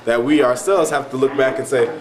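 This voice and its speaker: American man, mid-pitched, reflective presenter, rhetorical questions, busy crowd chatter behind. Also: fairly quick. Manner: musing, poses rhetorical questions. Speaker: presenter discussing a play. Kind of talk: reflective spoken commentary.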